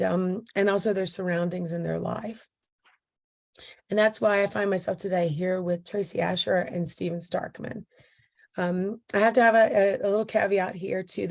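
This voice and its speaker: A woman with a slightly hoarse voice, hosting an interview about photo books and talking in a halting way with many pauses and fillers. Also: medium pitch, darkish tone. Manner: halting, many ums and restarts. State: hoarse, recovering voice. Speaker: interviewer, photo book consultant. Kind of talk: casual video interview.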